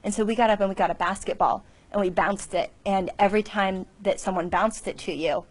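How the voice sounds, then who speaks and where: A woman's voice: medium pitch, brisk. A woman who leads a children's small group, offering an idea hesitantly in a casual group discussion. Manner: hesitant, with fillers.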